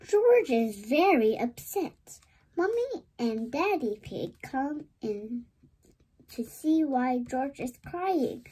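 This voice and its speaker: A woman reading a children's story aloud in an animated, expressive way for young listeners. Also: high voice, slow, breathy edge, poor audio. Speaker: storybook read-aloud host.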